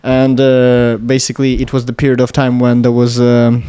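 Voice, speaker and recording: A man's voice: mid-range voice, completely flat delivery, mellow, smooth. A young man from Latvia whose first language is Russian, talking in a casual podcast interview and often stopping to search for words. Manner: halting, searching for words, many restarts. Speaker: podcast guest, electronics engineer.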